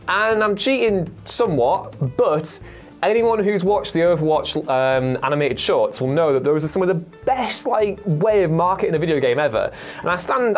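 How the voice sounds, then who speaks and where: A man chatting casually as a panellist, rambling through his opinions with enthusiasm and slang. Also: medium pitch. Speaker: panellist on a gaming show.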